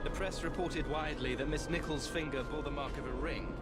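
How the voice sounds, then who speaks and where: An actor with a British accent playing a weary, earnest Victorian police inspector in a video game, speaking in a grave, formal, measured way. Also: male voice, on the high side, steady tone, loud music behind the voice, natural voice. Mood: grim, weary. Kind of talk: dramatic video game cutscene acting.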